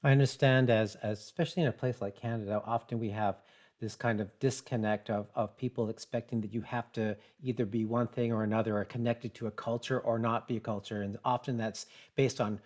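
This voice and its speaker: A man moderating a conversational panel as interviewer, reflective and measured as he sums things up and repeats words. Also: fairly low pitch, steady tone, a little mellow.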